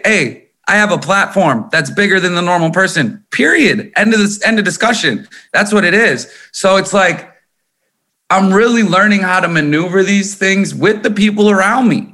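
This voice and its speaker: Man, thirties, from minnesota, emphatic, profane, bright tone, slightly high pitch. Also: normal speed. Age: 30s. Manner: animated, emphatic, swears often. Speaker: guest musician. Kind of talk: candid interview talk.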